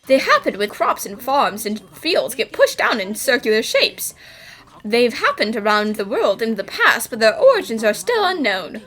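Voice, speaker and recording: A woman's voice: on the high side, very animated, normal speed, fairly bright tone, low-quality recording. A woman playing an eager, confident young detective in a game fan dub, speaking in an exclamatory, theatrical way. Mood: explaining. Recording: music behind the voice.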